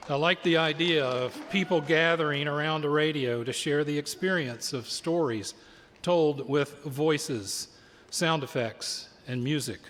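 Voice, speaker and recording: Male host, reverberant theater hall, higher-than-average pitch, formal measured delivery, live stage introduction. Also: a bit bright, a little hiss or hum. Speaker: producer and host. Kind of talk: live stage introduction to a radio drama broadcast.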